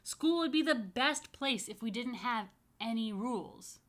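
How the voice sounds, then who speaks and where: A woman's voice: on the high side, lively intonation, a little slow, a bit bright, pitched above the performer's own voice. A woman voicing a stubborn, frowning little schoolboy in a picture book, with whiny, emphatic protests. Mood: grumbling.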